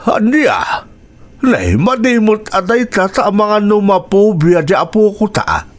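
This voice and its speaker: A man's voice: high-pitched, big pitch swings, slow, exaggerated voice. An actor with an Ilocano accent playing a concerned older grandfather in a radio drama, in an exclamatory, conversational manner. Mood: concerned, exasperated.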